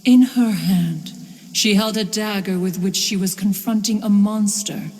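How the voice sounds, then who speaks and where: A woman's voice: medium pitch, a little slow, darkish tone, lightly acted. A woman playing an ancient, haughty and wise immortal crane spirit in an English video game dub, stately and formal, with a reverberant voice. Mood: solemn storytelling. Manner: stately, formal, refers to self as "one". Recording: long reverberant effect on voice.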